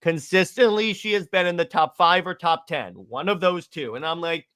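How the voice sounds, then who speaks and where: A man's voice: a little high, moderate pace, a bit bright, smooth. A man co-hosting a fan podcast, casually reading out and answering viewer questions with enthusiastic opinions. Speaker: podcast co-host.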